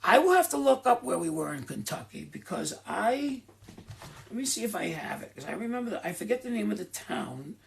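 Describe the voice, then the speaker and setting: A middle-aged man chatting casually to his viewers, rambling from one story to the next and circling back with asides. His voice sits fairly high for a man, with a gravelly, creaky edge running through it. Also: big pitch swings, fairly quick. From Rhode Island. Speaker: host of a live Q and A.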